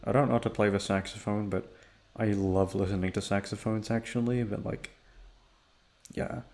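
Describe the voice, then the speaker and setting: A young man with a low, creaky voice talks quietly and slowly, as if keeping it down at home. His tone is dark and soft, and he rambles casually and hesitantly to his listeners, swearing as he goes. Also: fairly even intonation. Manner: hushed, low-energy, keeping quiet for others in the house; laid-back, hesitant, swearing freely. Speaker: audio roleplay creator and host.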